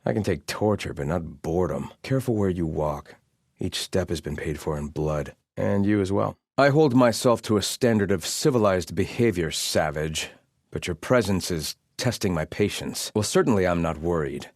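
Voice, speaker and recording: Proud devout soldier, low voice, very animated range, formal and disdainful, video game character, dry close recording. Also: male voice, normal speed, dry recording. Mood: stern, contemptuous. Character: haughty, devout male soldier of a sun-worshipping empire. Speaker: game voice actor. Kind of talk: scripted video game barks and lines.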